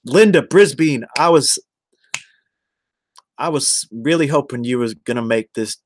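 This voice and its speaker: A man's voice: medium pitch, measured pace, dry recording. An American man in his forties chatting casually on a livestream, rambling and addressing viewers one by one. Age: 40s. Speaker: live streamer.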